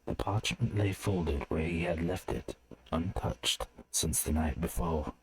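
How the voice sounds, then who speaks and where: A man's voice: a bass voice, expressive, a little slow, gravelly. A man reading scripted fantasy story narration as a narrator.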